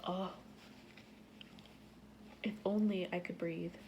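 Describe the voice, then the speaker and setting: Stuffy-nosed woman talking very slowly. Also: fairly low pitch, steady tone, darkish tone, faint music behind. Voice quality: stuffy nasal voice. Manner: sighing, breathy, often half-whispered. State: stuffy nose, sniffling and sneezing. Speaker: vlogger. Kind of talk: casual talk to camera.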